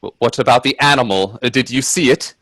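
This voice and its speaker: An actor playing a timid, wonder-struck gentleman companion in a radio play, with genteel, hesitant delivery. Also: mid-range voice, a little fast, no room sound. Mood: nervous. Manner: hesitant, stammering in dialogue, genteel phrasing. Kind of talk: radio drama acting and first-person narration. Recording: husky dry close audio from video-call performance.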